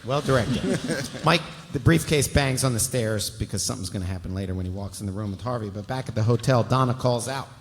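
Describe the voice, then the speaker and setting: A man's voice: medium pitch, brisk, hardly any pauses. A man reading stage directions at a live table read, playful and wry, adding his own asides. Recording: large hall with long reverb.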